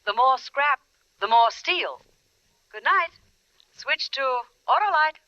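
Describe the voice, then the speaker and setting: On a dry, dull old radio recording, a woman announces a sponsor message. Her voice is high and bright, in 1940s advertising style. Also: lively intonation, takes it slowly, no echo.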